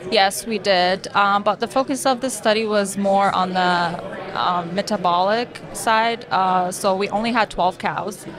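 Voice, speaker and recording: A woman's voice: medium pitch, a little slow, over music. A woman in her twenties from Lebanon, a graduate student explaining her research in a podcast interview, with many ums and restarts. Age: 20s. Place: conference hall booth with busy crowd behind. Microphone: table mic on stand, wearing headphones.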